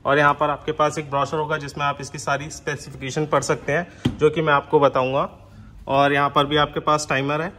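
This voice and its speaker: A man's voice: on the high side, sharp tone. A man giving an unscripted, step-by-step product demonstration, mixing in English technical terms. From India.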